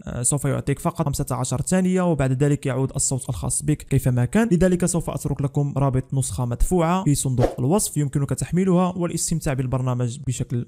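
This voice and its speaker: A man's voice: mid-range voice, dark tone. A young man narrating a scripted tech tutorial as the host of a channel.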